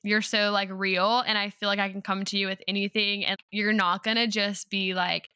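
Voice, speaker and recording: A young woman in her twenties chats with her best friend on a podcast, giggling often and talking in easy, rambling sentences. Her voice is bright and forward. The sound is close and dry. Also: medium pitch, flat delivery, moderate pace, smooth, no room sound. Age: 20s. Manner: bubbly, giggly, chatty. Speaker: podcast co-host, teacher. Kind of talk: casual chat between friends.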